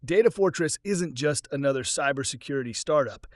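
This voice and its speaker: A man's voice: on the high side, expressive, fairly quick, dry recording, studio quality, lightly acted. A man narrating a story plays a nervous male startup boss with a rehearsed, placating sales manner. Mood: rehearsed confidence. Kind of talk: dramatic audiobook-style story acting.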